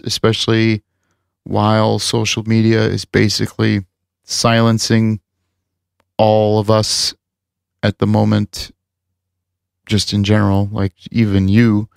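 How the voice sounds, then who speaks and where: A man's voice: lowish voice, completely flat delivery, unhurried, vocal fry, long pauses, clean tone, no room sound, studio quality. A man hosting a podcast, chatting casually and rambling with lots of filler words as he wraps up the show. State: recovering from lost voice. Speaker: podcast host. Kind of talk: casual chat and show wrap-up.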